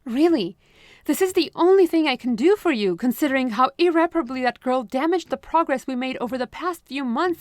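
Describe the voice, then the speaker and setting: A high, honeyed voice of a possessive therapist who controls her patient with calm sweetness, as a woman acts her out. The sound is close and dry, with no room around it, like an intimate ASMR roleplay. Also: no room sound. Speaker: voice actress.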